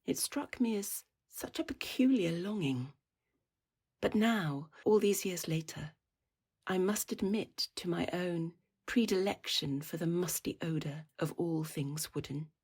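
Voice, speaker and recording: A woman playing a reflective older storyteller in an audio drama, narrating her past in measured, old-fashioned language. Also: lowish voice, animated, darkish tone, breathy edge, exaggerated voice. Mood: wistful, reflective. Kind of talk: literary first-person narration.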